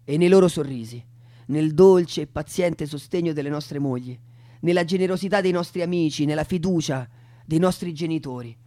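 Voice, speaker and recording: A man's voice: on the high side, moderate pace, warm tone. A man delivering a theatrical monologue in emphatic, declamatory phrases. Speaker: stage performer.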